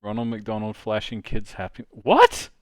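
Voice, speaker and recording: A man's voice: fairly low pitch, very animated, a little slow, dry recording. A man hosting a live stream, chatting casually and joking with friends. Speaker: host of a live dubbing stream.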